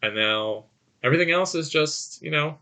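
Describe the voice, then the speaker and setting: A man's voice: on the high side, expressive, normal speed, pushed and bright, smooth. A man chatting casually about movies as a podcast co-host. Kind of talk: casual conversational film chat.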